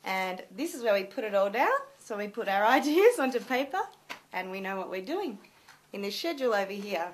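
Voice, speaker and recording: A twenty-nine-year-old Australian woman briskly showing and listing the parts of a documentary project. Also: medium pitch, lively intonation, a little hiss or hum. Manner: brisk, runs through lists. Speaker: documentary crew member. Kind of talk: casual explanatory talk to camera.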